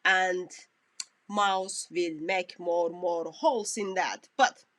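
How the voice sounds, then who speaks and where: A woman commentator, not a native English speaker, talking through a clip in halting, self-correcting phrases. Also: on the low side, steady tone, a bit bright, no room sound. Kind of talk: reaction commentary on a played clip.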